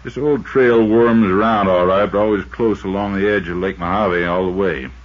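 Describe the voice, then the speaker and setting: A man acting a plain-spoken, folksy fishing guide in a 1950s radio drama, talking in a drawn-out, colloquial way. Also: low-pitched, fairly even intonation, lightly acted. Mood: matter-of-fact. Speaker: supporting actor. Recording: muffled, very noisy 1950s radio recording.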